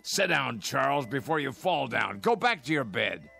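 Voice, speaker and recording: An actor playing a weary, stubborn old man in a radio drama, creaky-voiced, scolding and insistent. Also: medium pitch, big pitch swings, moderate pace, bright, forceful tone, full character voice. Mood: stern, exasperated. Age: older. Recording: radio drama with music underneath.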